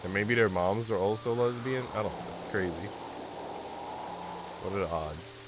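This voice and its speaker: Man, low voice, congested, informal game streamer, reads story text aloud, rambling slangy asides, clear rain-like noise behind. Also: moderate pace. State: congested with a cold. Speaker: live streamer playing a visual novel. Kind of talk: casual livestream chat mixed with reading game narration aloud.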